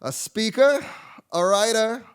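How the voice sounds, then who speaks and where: A man playing an eccentric, melodramatic fortune-telling sage in a comic play on stage, with drawn-out pondering and sudden cries. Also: high voice, animated, smooth. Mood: mystical pondering. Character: eccentric, theatrical self-styled male sage and fortune teller. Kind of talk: comic stage acting before a live audience. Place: theatre stage, live performance.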